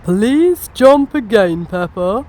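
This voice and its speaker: Woman playing a cheerful daddy pig, deliberate slow pace, instructive, encouraging, children's storybook. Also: mid-range voice, lively intonation, darkish tone. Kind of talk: children's storybook read-aloud.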